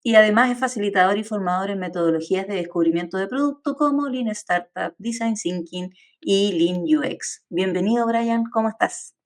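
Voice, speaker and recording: A woman's voice: medium pitch, normal speed. A woman hosting a podcast, introducing and interviewing in a prepared, presentational style. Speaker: podcast host.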